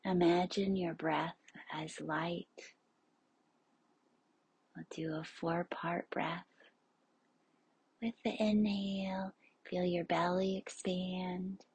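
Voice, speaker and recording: Very slow, breathy woman guiding meditation. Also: on the low side, fairly even intonation, dry recording. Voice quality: breathy, hushed, often near a whisper. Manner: soothing, unhurried, spacious pauses. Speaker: yoga nidra instructor. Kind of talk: guided relaxation meditation.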